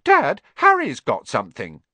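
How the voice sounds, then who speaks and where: A male reader plays a spoiled young boy from a storybook. The voice is pushed high, loud and whining, always demanding, and recorded dry. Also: wildly swooping pitch, a little slow, no room sound, full character voice.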